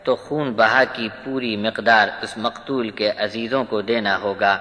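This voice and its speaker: A man narrates a religious translation in a measured, solemn way. His voice sits at a middle pitch with a bright, clear-cut edge. A long hall reverb follows his words. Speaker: reader of a Quran translation.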